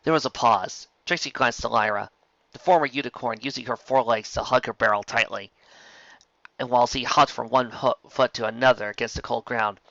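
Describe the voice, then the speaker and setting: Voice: medium pitch, brisk, a bit bright, dry recording, muffled recording. A narrator reading scripted fan fiction as an audiobook, in a storytelling style.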